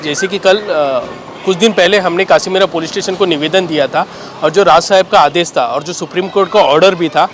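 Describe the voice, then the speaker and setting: A man's voice: high-pitched, a bit bright. A man answers an interview as a party worker. He explains things firmly and in order, with light street and vehicle noise in the background.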